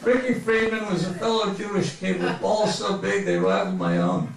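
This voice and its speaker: High-pitched, creaky man in echoey room. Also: expressive, moderate pace, crowd noise. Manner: mock-grandiose comic storytelling. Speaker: author reading from autobiography. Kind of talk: humorous memoir reading to a live audience. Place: bookstore reading event before an audience. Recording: very echoey room, distant mic.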